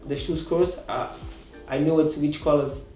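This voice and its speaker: Hesitant man, mellow voice. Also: on the high side, steady tone, measured pace, echoey room, soft background music, low-quality recording. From Portugal. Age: 30s. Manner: hesitant, many fillers and restarts. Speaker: course student. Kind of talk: casual interview answers.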